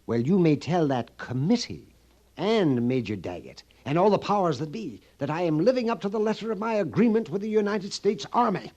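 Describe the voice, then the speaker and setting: An actor playing a nervous, suspicious army post storekeeper in a radio Western, fussy and hesitant, with a husky, creaky voice that drops to whispers. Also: male voice, high-pitched, very animated, brisk, darkish tone. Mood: indignant, suspicious. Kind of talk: old-time radio Western acting. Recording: dull old radio recording.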